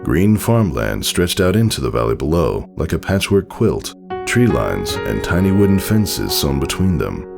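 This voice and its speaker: A man's voice: low-pitched, very animated, moderate pace, gravelly. A man reading scripted fiction aloud as a dramatic storyteller. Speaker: fan fiction narrator. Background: music.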